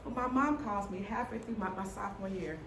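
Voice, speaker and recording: A woman's voice: mid-range voice, a little fast. A middle-aged woman who stutters tells her own story to an audience in a candid, humorous way. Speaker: speaker telling her story. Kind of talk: personal story talk to an audience. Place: hall before an audience. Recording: roomy sound from a distant mic with noise.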